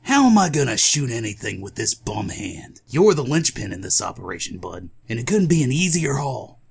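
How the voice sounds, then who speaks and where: A man's voice: medium pitch, very animated, moderate pace, creaky, breathy edge, heavily acted. A man voicing a scheming crook, coolly persuasive and confident, in a comic book audio drama.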